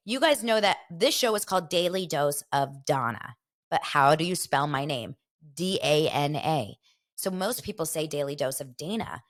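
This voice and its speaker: A woman's voice: lowish voice, fairly bright tone. A young woman from Los Angeles hosting a podcast, chatting animatedly and gossiping while reading out viewer comments. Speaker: podcast host. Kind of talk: casual chat.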